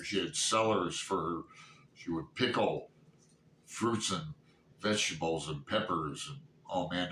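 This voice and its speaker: A man with a Midwestern American accent talks casually in an echoey room with some noise behind him. His voice is on the low side and bright. He hosts like a book vlogger, halting often, drifting into asides and asking himself questions. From Toledo, Ohio, United States. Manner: halting, digressive, self-questioning. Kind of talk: casual rambling chat to viewers.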